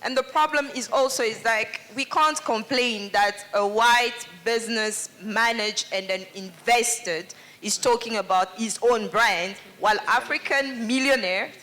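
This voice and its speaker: A woman's voice: fairly high pitch, unhurried, few pauses, fairly bright tone. Outspoken woman. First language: Lingala. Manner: earnest, outspoken, passionate. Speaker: panelist. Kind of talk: panel discussion comment.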